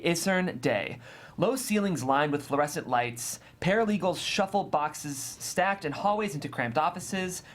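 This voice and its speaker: A narrator reading screenplay stage directions in a matter-of-fact, descriptive way. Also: medium pitch.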